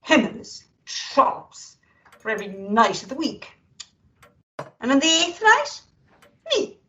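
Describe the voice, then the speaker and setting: A woman's voice: sits high, very animated, takes it slowly, fairly bright tone, lo-fi sound, heavily acted. A woman playing a put-upon, resentful but devoted worker in a rueful, grumbling radio-play monologue.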